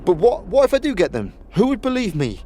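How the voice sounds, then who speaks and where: A man's voice: sits high, huge pitch swings, brisk, warm tone. A man playing an anxious petty thief and informant who talks in street slang, in a fantasy audio drama. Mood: anxious, pleading.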